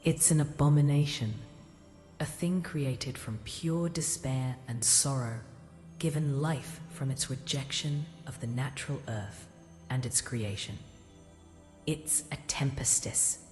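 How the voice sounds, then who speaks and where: A woman's voice: very deep voice, takes it slowly, a little mellow. A woman playing an ancient goddess in a mortal body, grave, formal and portentous, in a fantasy audio drama. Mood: grave, bitter. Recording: long echoing reverb with music.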